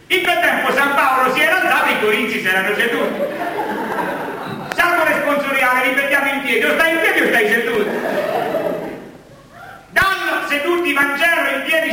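A man playing a frantic priest in a stage comedy, chanting absurd rites with insistent repetition. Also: extremely high pitch. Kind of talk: theatrical stage comedy monologue. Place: theatre stage before laughing audience. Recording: echoey theatre stage, distant mic, noisy.

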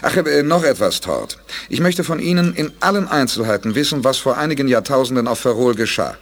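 An actor playing an assured, decisive space commander in a science fiction radio drama. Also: male voice, medium pitch, expressive, brisk, fairly bright tone. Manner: assured, directing others. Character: decisive male space commander, confident, probing leader. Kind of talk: 1980s German radio drama acting.

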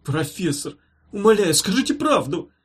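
A man's voice: on the high side, animated, quick pace. A man voicing a frightened, gullible male hospital patient in an audiobook, anxious, whining and pleading. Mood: desperate pleading. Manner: anxious, whining, breathlessly insistent.

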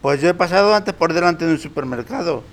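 A man voicing an excitable, cowardly dog sidekick in a radio play, nervously echoing his companion. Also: a little high, a little fast, gravelly. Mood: grumbling, alarmed. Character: excitable, cowardly male dog, goofy follower. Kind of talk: radio play adapted from puppet show.

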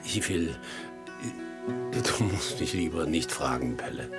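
A man's voice: extremely low pitch, lively intonation, creaky, over loud music, full character voice. An actor playing an aging, poor farmhand father in a German radio drama, humble, hesitant and simple-hearted. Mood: evasive, sheepish. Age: older. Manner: halting, simple-hearted delivery.

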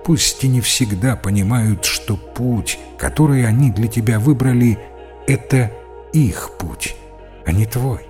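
A man voicing a kindly but gently stern priest who counsels in a calm, encouraging, preachy way. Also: medium pitch, a little slow, soft background music, close to an everyday voice. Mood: gentle, reassuring.

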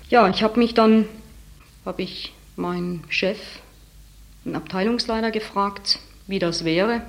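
A young woman giving a candid, plain and reflective interview account as a saleswoman. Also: fairly low pitch. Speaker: department store saleswoman interviewee.

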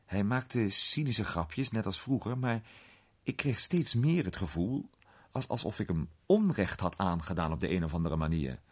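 A man playing a worldly film actor in a radio drama, recounting an unsettling memory in a conversational, sometimes hesitant, theatrical way with a husky voice. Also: fairly low pitch, animated, moderate pace. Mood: uneasy, confiding. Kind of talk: radio drama storytelling in character.

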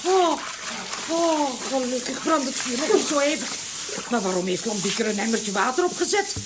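A woman's voice: high voice, animated, moderate pace, noisy background. An actress playing a bossy, fussing, exclaiming woman in a children's radio play. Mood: alarmed, scolding.

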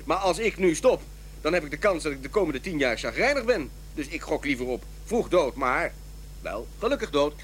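Blunt sarcastic doctor in a radio play, really animated delivery, slight hum. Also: male voice, on the high side, moderate pace. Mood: cheerfully defiant. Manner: dry, sardonic, theatrical. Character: blunt, sardonic male doctor who proudly smokes. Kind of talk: audio drama acting.

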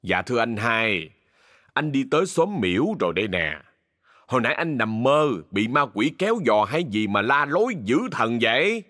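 A man voicing a gruff but caring middle-aged bus driver with a southern Vietnamese accent, blunt and folksy, in an audio story. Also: mid-range voice, very animated, normal speed, fairly bright tone, no room sound, clean studio sound. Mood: puzzled.

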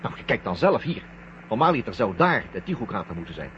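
Anxious astronaut, animated, muffled. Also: male voice, lowish voice, fast talker. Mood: concerned. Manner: urgent, questioning. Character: excitable, anxious male astronaut crewmate. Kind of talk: 1970 science-fiction radio drama acting. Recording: muffled, noisy old radio drama recording.

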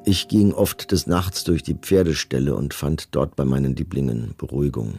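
A middle-aged commandant gives testimony in a radio play. His voice is low and dark, with a gravelly rasp. He speaks in a measured, bureaucratic way, detached and matter-of-fact. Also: soft background music, exaggerated voice. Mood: sombre, confessional. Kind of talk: documentary radio play, reading written testimony.

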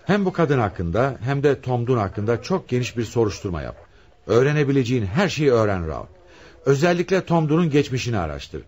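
Bossy police commissioner in a radio drama, husky, lots of swing in the voice, gives orders firmly. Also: male voice, mid-range voice, very animated, darkish tone. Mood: firm, insistent. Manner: authoritative, deliberate, probing. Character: commanding male police commissioner leading a murder investigation. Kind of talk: radio theatre acting.

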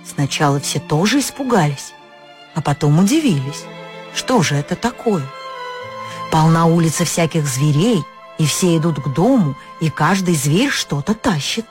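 Low-voiced woman reading a fairy tale. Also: normal speed, faint music behind. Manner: expressive, lifts pitch on key words. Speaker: storyteller. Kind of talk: scripted fairy-tale narration.

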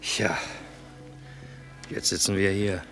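A man's voice: low-pitched, little pitch movement, slow, fairly bright tone, faint music behind, exaggerated voice. A man playing a wry, grumbling army cook out of work, in a colloquial, teasing radio drama style. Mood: wry, courting. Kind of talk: theatrical radio drama acting.

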